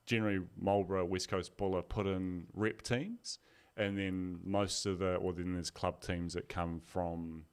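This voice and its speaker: Low, smooth New man. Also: a little slow, hardly any pauses. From New Zealand. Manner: relaxed, dry teasing. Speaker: podcast co-host. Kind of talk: casual sports podcast chat.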